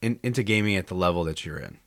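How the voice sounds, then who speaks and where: A man's voice: low-pitched, animated, quick pace, no echo, clean studio sound. A man hosting a podcast, chatting casually in a rambling, crude way and swearing a lot. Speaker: podcast host. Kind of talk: casual chat. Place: indoor room recording a podcast. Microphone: studio mic he monitors himself.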